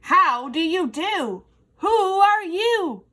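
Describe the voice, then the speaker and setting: A woman voicing a friendly female storybook ant who booms out her words loudly, eager for company. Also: animated, very slow, heavily acted. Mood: loud, hopeful greeting. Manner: booming, exclaiming.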